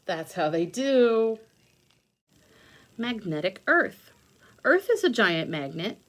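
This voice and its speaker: A woman's voice: mid-range voice, very animated, slow pace. A woman teacher reading aloud to young children, explaining things patiently in simple words with repetition and questions. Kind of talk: read-aloud lesson with explanatory asides for children.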